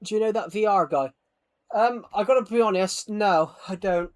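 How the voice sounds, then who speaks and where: High-voiced man chatting on a livestream. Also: normal speed. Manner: rambling, self-deprecating, stammering repeats. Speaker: live streamer. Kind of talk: casual livestream chat while gaming, reading out viewer comments.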